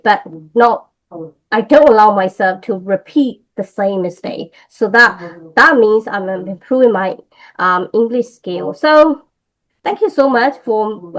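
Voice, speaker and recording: A woman's voice: medium pitch, rough recording. A woman from Myanmar speaking English with a Burmese accent, an English teacher chatting in an interview, hesitant and full of fillers and restarts. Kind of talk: casual interview conversation.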